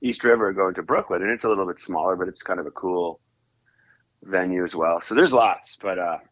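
A man's voice: sits low, a little fast, fairly bright tone. A young Canadian-born man, a comedian, chatting casually in an interview with many fillers and restarts. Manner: rambling, restarts often with fillers. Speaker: comedian guest.

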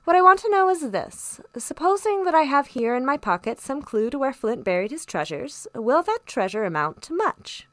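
A woman voicing a composed, genial gentleman doctor in a storybook, courteous, stately and shrewd. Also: high-pitched, very animated, moderate pace, hardly any pauses, no room sound, voice pushed up. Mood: brisk, reasoning.

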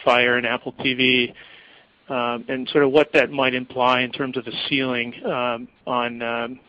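A man's voice: mid-range voice, level tone, measured pace, muffled recording. A man, a financial analyst, asking winding, hesitant questions on an earnings call.

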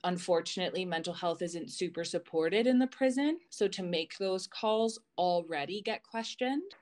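A woman co-hosting a podcast in casual chat, telling personal stories in a candid, informal way with some swearing. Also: lowish voice. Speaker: podcast co-host.

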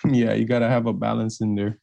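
A man's voice: on the low side, little pitch movement, fast talker, vocal fry, warm tone. A man hosting an interview podcast, asking his guest questions in a casual, laid-back way. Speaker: podcast host.

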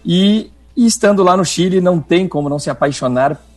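A man with a Rio Grande do Sul accent talks in a friendly, familiar way, addressing the host directly. His voice is a bit husky. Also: sits high, brisk, a little mellow. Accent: Rio Grande do Sul Portuguese. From southern Brazil (Rio Grande do Sul). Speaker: guest, tech executive and wine student. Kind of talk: radio interview.

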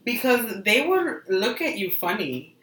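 A woman's voice: fairly high pitch, big pitch swings, measured pace, a bit bright, distant mic. A woman from Panama telling a story animatedly in a casual group chat, with a faint echo of the room. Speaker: discussion panelist. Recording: echoey room, faint noise.

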